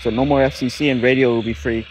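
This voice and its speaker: A man's voice: mid-range voice, fairly even intonation, quick pace, slight background noise, low-quality recording. A man giving casual, off-the-cuff commentary as a radio hobbyist, thinking aloud in a tentative way.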